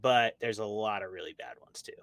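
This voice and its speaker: A man with a low voice and a bright, forceful edge talks in a casual interview. He offers opinions and works through examples in a conversational way. The sound is dry and close. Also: a little fast, no echo. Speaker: interview guest, author and podcast co-host.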